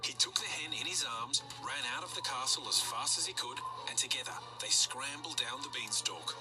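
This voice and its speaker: A woman storyteller reading a children's story aloud with expressive narration. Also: extremely low pitch, moderate pace, music in the background.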